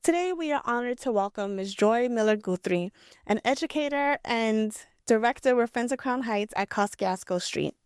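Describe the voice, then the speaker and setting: A woman's voice: a little high, animated, moderate pace, darkish tone, clear, resonant tone, dry recording, clean studio sound. A woman hosting an interview, introducing her guest and asking questions in a polite, formal way. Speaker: interview host, educational director. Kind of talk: hosted interview.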